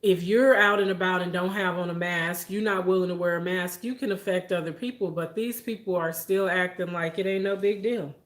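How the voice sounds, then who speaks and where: A young woman tells a story straight to her viewers. Her voice sits low for a woman, and she talks in an animated way, often breaking into laughter. The sound bounces around an echoey room. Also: brisk, few pauses. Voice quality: deep voice for a woman. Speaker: vlogger.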